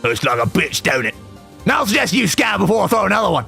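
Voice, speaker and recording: Brief: tough foul-mouthed old grandma, gruff, low-pitched, voiced by a man. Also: very animated, quick pace, pushed and bright, soft background music, full character voice. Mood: gruff menace. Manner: gruff, blunt. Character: vulgar, cunning old grandmother, fierce but caring.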